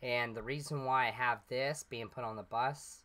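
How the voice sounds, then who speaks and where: A man's voice: mid-range voice, steady tone, moderate pace, bright, forceful tone. A man casually commenting over his gameplay, rambling step by step and sounding a little congested as he recovers from being sick. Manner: rambling, explains step by step with fillers. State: slightly congested, recovering from illness. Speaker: let's play host.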